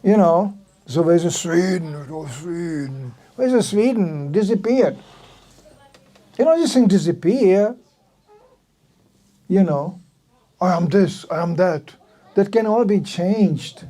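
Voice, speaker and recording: Older man giving an animated talk. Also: high-pitched, slow pace, long pauses. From Czech Republic. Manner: animated storytelling, acting out quotes. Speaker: visiting speaker at a temple. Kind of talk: sermon.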